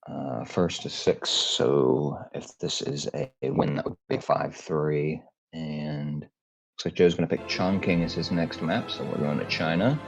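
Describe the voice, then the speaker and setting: A man with a deep voice giving casual, laid-back live commentary on a game match, thinking aloud with fillers. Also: a little slow, vocal fry, muffled recording. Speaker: tournament commentator. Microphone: home streaming mic, lower quality.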